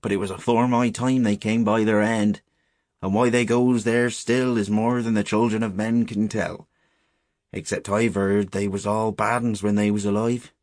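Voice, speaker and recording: A man's voice: lowish voice, level tone, normal speed, darkish tone, no room sound, studio quality, lightly acted. A man voicing a grave old shepherd in a storybook, with a rural English accent and emphatic, cautionary country talk. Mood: grave, mysterious. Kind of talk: storybook character voice.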